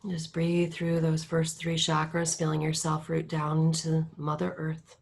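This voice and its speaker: Low, gravelly woman guiding a meditation. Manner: soft, drifting between murmur and whisper; calm, unhurried instructions. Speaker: meditation guide. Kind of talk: guided meditation.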